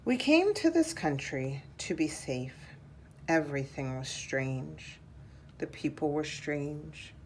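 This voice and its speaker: A woman reading a children's picture book aloud in a measured, deliberate storytelling style. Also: deep voice, animated, darkish tone, a little hiss or hum.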